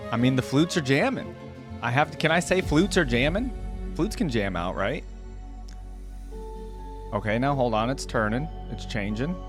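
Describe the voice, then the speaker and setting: A man hosting a reaction video with casual, informal commentary, thinking out loud as he goes. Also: medium pitch, animated, moderate pace, long pauses. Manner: informal, musing aloud. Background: music.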